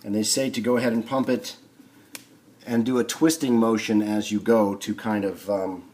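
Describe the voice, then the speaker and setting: A man thinking aloud in an unhurried way as he gives a casual hands-on tutorial at a workbench. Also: lowish voice, steady tone, a little mellow. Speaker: hobbyist demonstrating a restoration. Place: indoor home workshop. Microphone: camera on the workbench, speaker off-screen. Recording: noisy camera audio, background noise.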